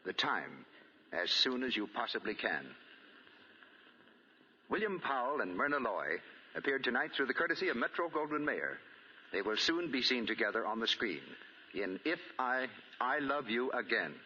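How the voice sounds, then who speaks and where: Very bright, forceful vintage American radio announcer man. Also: medium pitch, sing-song, moderate pace, a little hiss or hum. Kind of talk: 1940s radio announcing and ad copy. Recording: dull lo-fi 1940s radio recording.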